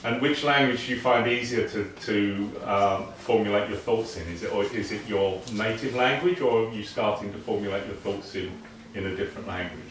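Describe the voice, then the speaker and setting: A man's voice: lowish voice, a little fast. Chatty guy asking the kids questions, roomy echoey space, mic far off, some noise. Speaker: debate host. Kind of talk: casual post-debate chat.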